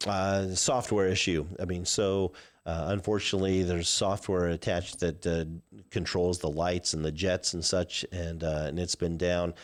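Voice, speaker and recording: Very deep-voiced halting mayor in his fifties. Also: little pitch movement. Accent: Midwestern American. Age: 50s. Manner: halting, many "uh" and "um" fillers. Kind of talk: live call-in Q&A.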